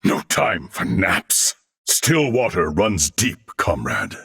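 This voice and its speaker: A man's voice: low-pitched, expressive, takes it slowly, creaky, fairly bright tone, exaggerated voice. An actor playing a formal, disciplined, proud and loyal swordsman in a video game, speaking in theatrical, archaic phrasing. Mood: composed, self-satisfied.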